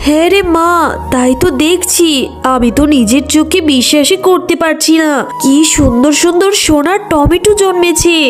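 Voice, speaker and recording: High-voiced emotional mother fox played by a woman. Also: normal speed, soft background music, close to an everyday voice. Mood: amazed. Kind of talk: children's cartoon voice acting.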